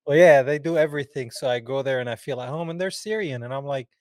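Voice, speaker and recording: A man's voice: medium pitch, quick pace, a little mellow, no room sound, studio quality. A man from Palestine hosting a podcast, chatting casually and emphatically with his guest. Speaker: podcast host. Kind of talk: casual interview chat.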